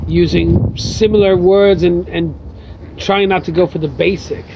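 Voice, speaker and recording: A man with a high-set voice and a gravelly edge, answering questions in a thoughtful, meandering way. The sound is slightly muffled, with a faint hum of noise behind. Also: steady tone, a little slow. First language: English. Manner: reflective, many fillers like "you know" and "uh". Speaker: guest writer. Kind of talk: casual interview answers.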